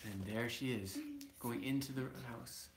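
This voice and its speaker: A man reading a children's story aloud as a father would, casually and haltingly, correcting himself as he goes. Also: medium pitch, heavy background noise.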